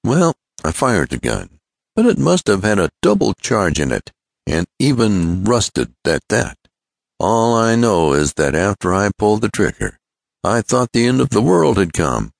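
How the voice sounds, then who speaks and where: A man's voice: lowish voice, sing-song, a little slow, creaky, no room sound, studio quality, voice pushed up, exaggerated voice. A man voicing an eager, joking young male friend of an inventor in an adventure storybook, breezy, exclamatory and full of questions. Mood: rueful, chatty.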